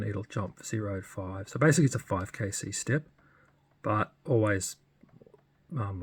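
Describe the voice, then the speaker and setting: A man giving a casual, unscripted hands-on demo as a hobbyist, thinking aloud in a hesitant, understated way with a husky, gravelly voice. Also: on the low side. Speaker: radio hobbyist presenting a modification demo.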